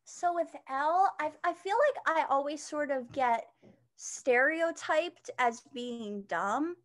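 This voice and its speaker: A young woman with a high-pitched voice, chatting as an interview guest, with many fillers and restarts. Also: expressive, takes it slowly, fairly bright tone. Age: young adult. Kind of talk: casual interview chat.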